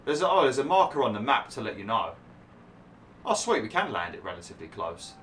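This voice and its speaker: A man's voice: medium pitch, very animated, brisk, fairly bright tone, slight background noise. A young man commentating over gameplay, chatty and grumbling, saying he is losing his voice. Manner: chatty, grumbling, laughs at himself. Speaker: gaming YouTuber.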